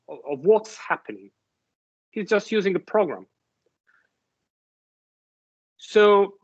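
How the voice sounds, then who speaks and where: A slow, careful man lectures with a voice a little higher than most, stopping often to restart his sentences and asking whether the class follows. The recording sounds dull and lo-fi. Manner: halting, many restarts and fillers, checks understanding with "right?". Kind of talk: university lecture.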